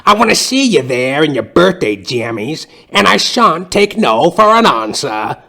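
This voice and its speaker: A man voicing a theatrical old woman, a retired circus clown turned drama teacher, with a grandiose, drawn-out, cajoling delivery in a comic audio play. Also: on the high side, big pitch swings, creaky, bright, forceful tone, pitched above the performer's own voice, heavily acted. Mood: brazen, coaxing.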